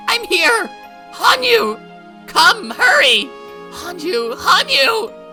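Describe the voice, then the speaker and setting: A woman voicing a frightened young girl who shouts frantically and makes demands. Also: very high-pitched, sing-song, very slow, faint music behind, pitched above the performer's own voice, heavily acted. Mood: frantic.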